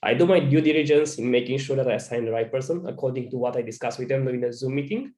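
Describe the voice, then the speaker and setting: A man's voice: medium pitch, fast, warm tone. A man with a Romanian accent, a business owner answering interview questions over a video call, casual and earnest, with a lot of "you know". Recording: roomy video call audio.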